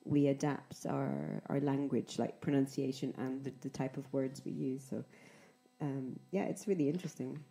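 Low, mellow Scottish woman. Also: moderate pace. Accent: Scottish, softened by years in England. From Scotland. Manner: clear, careful speech with frequent fillers. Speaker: podcast host and English teacher. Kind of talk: casual interview chat.

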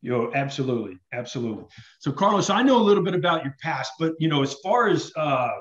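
Higher-pitched man, echoey room. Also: expressive, fast talker, fairly bright tone, dull audio. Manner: informal, slangy. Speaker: podcast host. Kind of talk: casual podcast introduction and questions. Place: indoor room with backdrop.

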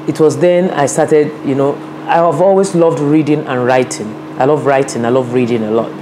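A woman's voice: deep voice, noisy background. A Nigerian woman in her fifties, recorded in her office by a camera across the desk, earnestly telling her life story with many "you know" asides. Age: 50s. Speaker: interviewee, women's rights advocate. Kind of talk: reflective personal storytelling. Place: office with a large window, seated at a desk.

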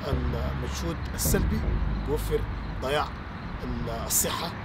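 A man's voice: medium pitch, normal speed, recorded from a distance, very noisy. A man in his thirties, a writer, giving earnest advice in an outdoor night interview with many fillers. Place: outdoors at night by a lit waterfront.

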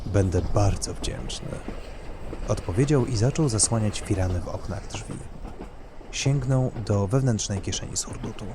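A man's voice: lowish voice, normal speed, background noise. A man narrating a scripted dramatic story as its reader.

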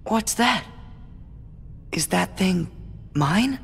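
A man's voice: high voice, animated, very slow, vocal fry, fairly bright tone, slightly rough, full character voice. An actor voicing an earnest teenage boy hero in a game who speaks hesitantly, groping for words. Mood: confused.

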